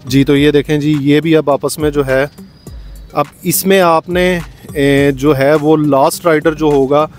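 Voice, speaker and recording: Mellow man giving a step-by-step tutorial. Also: fairly high pitch, fairly even intonation, measured pace, faint music behind. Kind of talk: step-by-step product demonstration.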